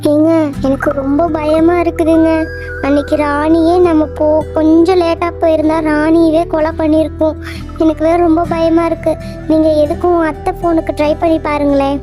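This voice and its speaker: A woman's voice: high voice, level tone, moderate pace, pitched above the performer's own voice, close to an everyday voice. A woman voicing a fretful young Tamil housewife in a cartoon, with a pleading, repetitive manner and a Tamil accent. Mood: frightened. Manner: pleading, fretful, keeps repeating "athai". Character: young Tamil housewife Thamayanthi, anxious and fussy; also a ghost disguised as her. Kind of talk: cartoon voice acting. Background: background music.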